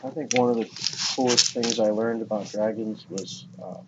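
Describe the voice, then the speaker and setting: A man's voice: on the low side, fairly even intonation, normal speed. A man chatting casually about spiritual ideas in an informal, loosely phrased way. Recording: dull lo-fi recording with clear background noise.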